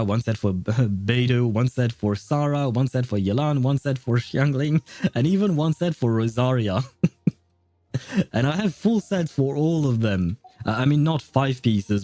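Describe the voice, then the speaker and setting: A man's voice: medium pitch, warm tone, no room sound. A man livestreaming a game, chatting casually and thinking aloud in a hesitant, chuckly way. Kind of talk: casual livestream chat and commentary.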